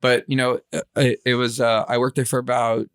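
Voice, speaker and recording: A man's voice: mid-range voice, flat delivery, brisk, clean tone, dry recording, studio quality. A man, chatting casually as a podcast co-host in laid-back, slangy talk. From grew up in Los Angeles area, California, born in Israel.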